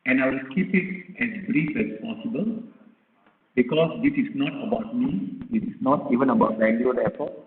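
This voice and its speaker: A mid-pitched man from Singapore gives a courteous, plainly spoken address to a seminar audience. The hall has a long reverb, and the sound is tinny and phone-like with faint hiss. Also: moderate pace. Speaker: airport CEO, guest of honor. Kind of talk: formal address. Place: large hall. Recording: echoey hall over tinny PA.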